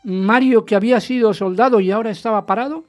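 A man's voice: high voice, fast, faint music behind, natural voice. An actor playing a jealous, agitated husband in a radio play, insistent and dramatic as he narrates and questions. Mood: agitated, demanding. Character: jealous, agitated husband recounting his hunt for a rumour. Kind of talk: radio theatre narration and dialogue.

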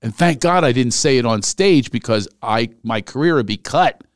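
A man's voice: mid-range voice, normal speed, dry recording, studio quality. A man with an American accent hosting a podcast, chatting casually and joking as he tells stories. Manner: joking, animated storytelling. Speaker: podcast host. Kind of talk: casual comedic interview chat.

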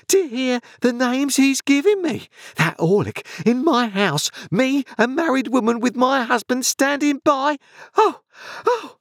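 Guy doing a shrill shrieky scolding wife for an audiobook, way high, swooping all over, super melodramatic. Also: lively intonation, unhurried, few pauses, no room sound, studio quality, heavily acted. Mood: shrieking hysteria. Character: shrill shrewish middle-aged married woman in a mounting rage.

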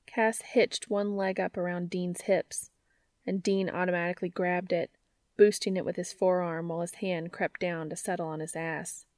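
Lowish-voiced woman narrating, very dry sound. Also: level tone, normal speed, a little mellow, no echo. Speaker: fan fiction narrator. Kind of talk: scripted story narration.

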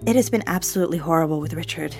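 An actress playing an anxious, hurt young secretary who speaks politely and hesitantly, confiding in an audio drama. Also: female voice, low-pitched, rapid-fire, darkish tone, faint music behind. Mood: troubled, confiding.

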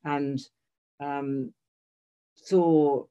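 A woman's voice: low-pitched, steady tone, extremely slow, dark tone, clean tone, dull audio. An older woman telling a long personal story in conversation, hesitant, restarting and correcting herself often. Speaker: guest.

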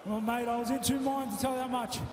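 A man with an Australian accent, a fighter shouting bluntly in a post-fight interview. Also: very high-pitched, fairly even intonation, quick pace. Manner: shouting, blunt, uses "mate". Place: fight arena. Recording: echoey arena from far off. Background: arena noise.

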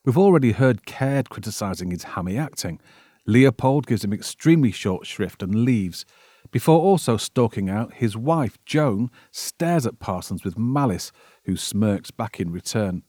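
A man's voice: fairly low pitch, lively intonation, unhurried, dark tone, no room sound, studio quality. A man delivering scripted narration as the presenter of a film synopsis.

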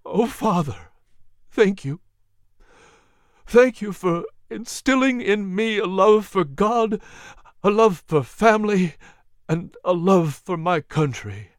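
Narrator doing a grieving son, earnest, tearful, lively pitch. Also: slow pace, long pauses, dry recording, studio quality.

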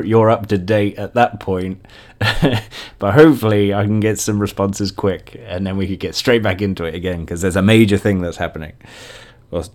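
British male podcast host, 30s, fairly low voice, chatty and rambling solo delivery. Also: a little mellow. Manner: rambling, chatty, self-interrupting. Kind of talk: casual solo podcast chat.

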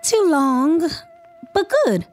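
A spirited young woman writer in a period radio drama. Her voice is fairly high and swoops with animation. She is courteous and persuasive, with music behind her. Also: very animated, drawn-out words, darkish tone, exaggerated voice. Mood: earnest, persuasive. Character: eager, spirited young Southern woman writer seeking patronage. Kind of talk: audio drama acting.

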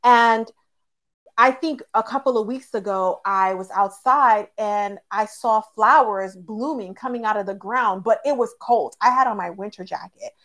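A woman's voice: medium pitch, unhurried, a bit bright. A woman explaining things in a casual, motivational way as a podcast co-host, checking in with questions. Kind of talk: casual motivational faith discussion.

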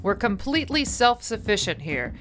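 A woman's voice: mid-range voice, big pitch swings, normal speed, fairly bright tone. Composed woman storyteller over music acting. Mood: brisk, welcoming. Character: Chauncey, brisk, composed production manager narrating a mystery. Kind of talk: radio play acting and narration. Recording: music bed behind the voice.

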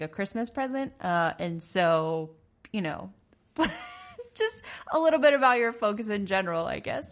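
A woman's voice: fairly low pitch, a bit bright. A woman hosting a conversational interview, asking questions and laughing often. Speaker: host.

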